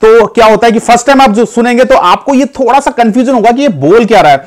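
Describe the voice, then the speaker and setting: A man from India talks straight to his audience in an easygoing vlog, his voice sitting noticeably high. He rambles a little, circling back with fillers and restarts, and keeps calling his viewers "guys". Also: fast talker. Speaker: vlogger giving advice. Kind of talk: casual talk to camera.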